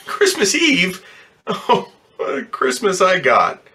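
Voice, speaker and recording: A man's voice: high-pitched, huge pitch swings, slow, sharp tone, exaggerated voice. A man playing an embittered, distraught ambulance driver in slangy, stammering old-time radio drama style. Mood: bitter defiance. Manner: slangy working-class talk, stammering when upset.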